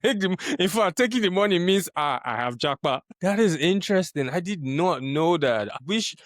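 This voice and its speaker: A man hosting an interview podcast who reacts with lively surprise and asks long, wandering questions. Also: sits high, normal speed, no room sound, clean studio sound. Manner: animated, exclaims in surprise, rambling questions. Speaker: podcast host.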